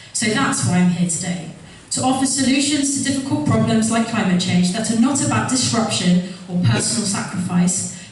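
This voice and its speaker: A woman giving an earnest prepared campaign speech at a public hustings, listing her points in a measured rhythm. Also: fairly high pitch. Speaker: election candidate. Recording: echoey hall from far off with faint noise.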